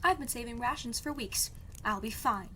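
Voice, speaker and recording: A woman's voice: on the high side, normal speed, sharp tone, slight background noise. A woman playing a stubborn, sardonic young princess in an audio drama, speaking in a dry, defiant way. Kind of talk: audio drama podcast acting.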